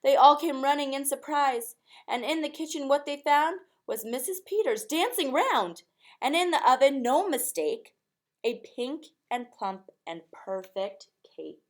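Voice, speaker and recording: A woman with a fairly high voice reads a children's storybook aloud. Her delivery is expressive and follows the rhyme in a measured, sing-song rhythm, the way a teacher reads to a class. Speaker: teacher reading a picture book aloud.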